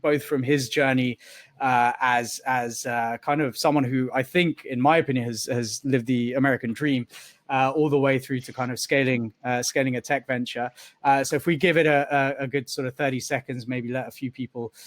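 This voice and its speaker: A man's voice: medium pitch, fairly even intonation, normal speed, no room sound. A man hosting an online interview session, rambling with many fillers and restarts. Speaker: host. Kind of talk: video call interview hosting.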